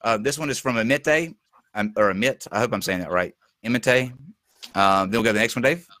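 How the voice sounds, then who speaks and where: Male talk host, lowish, hoarse from losing his voice, halting with fillers, dry close sound. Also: sharp tone, no room sound. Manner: halting, many fillers and restarts. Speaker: show host. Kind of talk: casual live-stream talk show.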